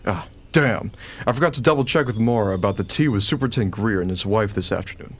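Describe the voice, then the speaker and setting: An actor playing a young schoolteacher husband in a radio play, anxious, dutiful and a little exasperated. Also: male voice, lowish voice, fast, natural voice. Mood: harried. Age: young adult. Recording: faint background noise.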